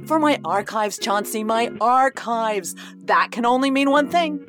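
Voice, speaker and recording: An actress playing a scheming, imperious playwright in a radio play, with a melodramatic, emphatic delivery. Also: female voice, fairly high pitch, lively intonation, unhurried, music in the background. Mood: melodramatic, alarmed.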